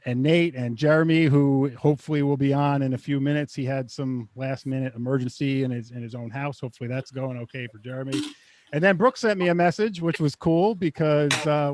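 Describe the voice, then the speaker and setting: A man's voice: mid-range voice, a little mellow, no echo. New guy hosting a live chat, dry close sound, lots of ums and restarts. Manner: loose, filler-heavy, friendly. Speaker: host. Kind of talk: casual live show hosting.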